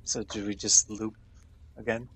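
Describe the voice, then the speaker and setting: A man's voice: fairly low pitch, level tone, measured pace, fairly bright tone, a little hiss or hum. A man chatting casually as a player at a tabletop role-playing game, talking through his moves.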